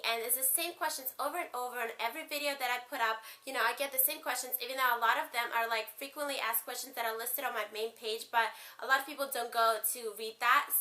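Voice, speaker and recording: A young woman with a Ukrainian accent talks casually to her viewers in a bright, clear voice pitched a little high. She rambles, filling gaps with "like" and "um", her first language being Spanish. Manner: chatty, rambling, lots of "like" and "um". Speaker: beauty vlogger. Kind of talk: casual chat to camera.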